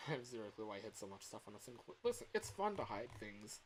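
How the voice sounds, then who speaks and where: A young man livestreaming in a casual chat, rambling and halting as he corrects himself mid-sentence. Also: lowish voice, big pitch swings, a little hiss or hum. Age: young adult. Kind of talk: casual chat with stream viewers.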